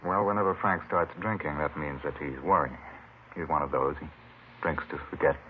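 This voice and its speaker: A man's voice: extremely low pitch, moderate pace, a little hiss or hum. An actor playing a pious, folksy mission preacher who is protective of his helper, in 1950s radio drama style. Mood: guarded, reluctant. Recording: muffled vintage radio recording, faint music.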